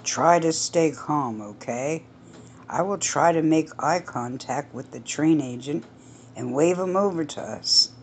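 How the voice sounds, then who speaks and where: A woman voicing a calm husband who talks slowly and soothingly to his frightened wife. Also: low-pitched, a little hiss or hum.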